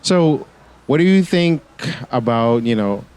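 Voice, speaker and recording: A man with a Bahamian accent mixed with American, hosting a podcast and asking loose, relaxed questions. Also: medium pitch, a little slow, clear, resonant tone, low-quality recording. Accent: Bahamian with American mix. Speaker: podcast host. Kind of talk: casual interview talk.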